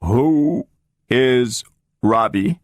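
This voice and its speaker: Man voicing big talking robot, slow, flat, booming, dry. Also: no echo. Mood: flat mechanical. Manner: slow, flat, without intonation.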